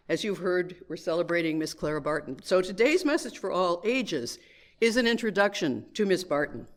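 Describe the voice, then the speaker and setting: A woman giving a children's message at church, telling a story in measured, clearly phrased sentences to a congregation. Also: on the low side.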